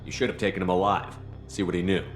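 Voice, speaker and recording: An actor playing a no-nonsense, decisive space commander in a video game, speaking bluntly. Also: male voice, low-pitched, flat delivery, fast, in a large hall, soft background music, close to an everyday voice. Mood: suspicious.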